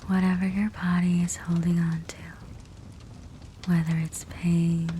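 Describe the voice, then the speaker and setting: A woman speaks in a soft, breathy whisper, low and very slow, as she guides a sleep meditation. Her delivery is gentle and soothing, with long pauses between short phrases. A steady fireplace crackle runs behind her voice. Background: fireplace sounds.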